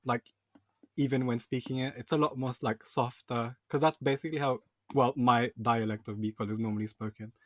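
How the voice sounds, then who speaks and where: A soft-spoken man speaking as a podcast guest in casual chat. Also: mid-range voice, fairly even intonation, brisk, no room sound.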